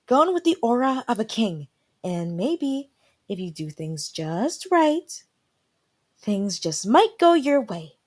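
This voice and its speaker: A woman playing a calm, supportive royal attendant in a fantasy audio roleplay, giving gentle and earnest formal counsel. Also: fairly high pitch, takes it slowly, heavily acted. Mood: concerned, encouraging.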